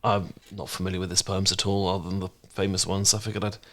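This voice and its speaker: A man playing a gentle, caring housemate in an intimate ASMR romance roleplay, speaking softly and reassuringly. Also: sits low, little pitch movement, natural voice. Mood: relaxed, easygoing. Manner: soft, reassuring, unhurried conversational delivery. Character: gentle, caring male housemate, shyly fond and easygoing.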